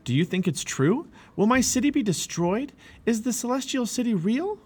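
A man's voice: high-pitched, very animated, a little fast, a little mellow, pitched above the performer's own voice. A man voicing an earnest, fervent young male pilgrim who pleads and trusts, in a children's storybook. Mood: scared, anxious.

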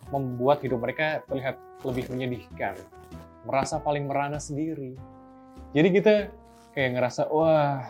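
A man's voice: medium pitch, darkish tone. A man hosting a casual explainer, talking loosely and putting on short quoted asides. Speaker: host. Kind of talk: explainer narration.

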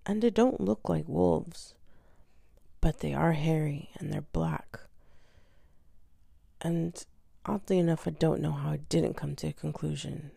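Raspy, gravelly-voiced woman just awake. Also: sits low, a little slow, no echo, studio quality. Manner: soft, often half-whispered, hesitant with fillers. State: raspy just-woken morning voice. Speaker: podcast host. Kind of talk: casual solo storytelling.

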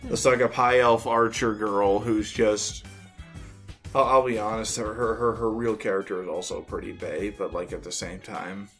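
A man's voice: on the low side, fairly even intonation, moderate pace, a bit bright, faint music behind. A man co-hosting a podcast, chatting casually and retelling things in a rambling, slangy way. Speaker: podcast co-host. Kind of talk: casual chat.

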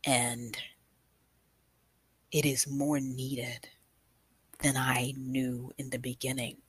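Slow-talking woman with a low, husky voice. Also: flat delivery. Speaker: guest storyteller, nonprofit founder. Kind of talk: personal storytelling to an audience.

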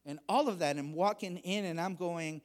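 A middle-aged man from Honduras preaching a sermon, measured and emphatic, with quieter stretches for personal stories. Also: high voice. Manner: measured preaching, rising emphasis on key phrases. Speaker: guest preacher.

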